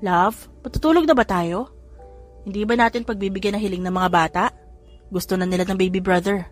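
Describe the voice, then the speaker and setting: A woman voicing a flirtatious grown man and doting father, speaking in a lowered, playful voice. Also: brisk, faint music behind, close to an everyday voice. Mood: teasing.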